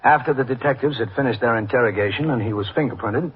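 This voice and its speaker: A man's voice: medium pitch, fast, breathy edge. An American man playing a dry, matter-of-fact police captain in a 1950s radio drama. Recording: dull, noisy old radio recording.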